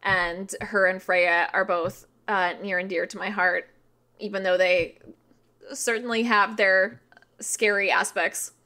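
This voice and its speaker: A woman's voice: mid-range voice, steady tone, slow, sharp tone. A woman of thirty talking as a podcast guest in a casual, informal interview style, explaining things with frequent filler "like".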